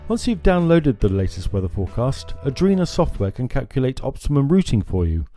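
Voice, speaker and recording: A man, presenting marine navigation equipment in a small showroom in a steady, informative way on a lapel mic. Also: mid-range voice, brisk, faint music behind. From France. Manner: steady, informative, gestures at screens. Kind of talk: scripted product explainer.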